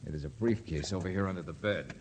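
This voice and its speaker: A man playing a brisk, wry, tough private investigator in a 1950s radio drama, talking in a clipped, commanding way. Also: sits low, darkish tone, close to an everyday voice. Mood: terse, intent. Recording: noisy old 1950s radio recording.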